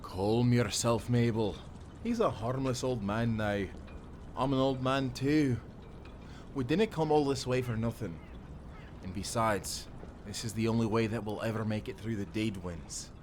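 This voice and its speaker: A man playing a kindly old seafaring grandfather in an audio drama, speaking calmly and reassuringly. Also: medium pitch, measured pace, slight background noise, lightly acted. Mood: calm, firm. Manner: calm, reassuring, folksy. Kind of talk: cinematic audio roleplay acting.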